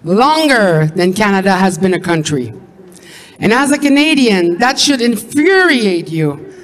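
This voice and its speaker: A narrator reads documentary lines in a firm, deliberate way. The voice sits mid-range. A long reverb hangs on it, as if recorded in a hall. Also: mid-range voice, big pitch swings.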